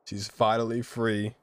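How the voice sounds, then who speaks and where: A young man casually reacting live to game cutscenes, slangy and full of awed exclamations, with game music behind him. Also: medium pitch. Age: young adult.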